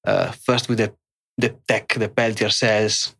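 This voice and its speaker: A middle-aged man with an Italian accent, answering interview questions in a halting way with many fillers and restarts. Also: lowish voice, steady tone, creaky, bright, forceful tone. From Italy. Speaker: guest, extreme overclocker. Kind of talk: casual interview.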